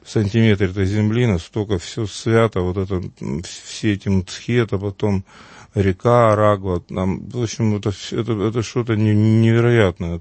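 A man's voice: fairly low pitch, darkish tone, no room sound. A man from Uglich, an Orthodox priest, telling earnest, rambling anecdotes in conversation. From Uglich, Russia. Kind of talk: conversational storytelling.

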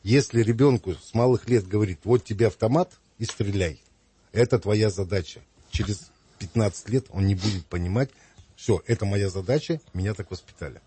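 A middle-aged man speaking earnestly and reflectively as a guest in a talk, pausing with hesitations. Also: fairly low pitch, dark tone, husky. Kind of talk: earnest conversational opinion.

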